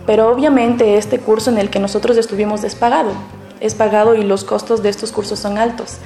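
A woman's voice: medium pitch, steady tone, fairly quick, mellow, echoey room, faint music behind. A young woman, a university student, giving an earnest, argumentative opinion. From Ecuador. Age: young adult.

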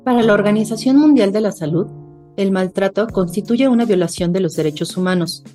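A woman co-hosting a radio program, reading information in a steady way. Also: mid-range voice, fairly quick, soft background music. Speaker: radio co-host. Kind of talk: scripted radio narration. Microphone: studio microphone.

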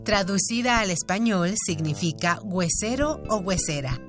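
A woman reading an informative radio segment in an even, scripted way. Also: mid-range voice, a little slow, music in the background. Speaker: announcer. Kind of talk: scripted narration.

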